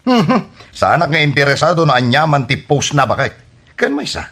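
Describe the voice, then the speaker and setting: A man's voice: a little high, big pitch swings, fairly quick, fairly bright tone, full character voice. A man playing an exasperated, teasing husband who banters in an Ilocano comedy radio drama, with an Ilocano accent.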